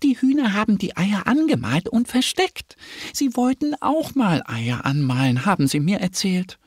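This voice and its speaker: A man's voice: very animated, normal speed, dry recording, studio quality. A man voicing an eager, helpful little girl duck in a children's story. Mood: reassuring. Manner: high, bright, eager.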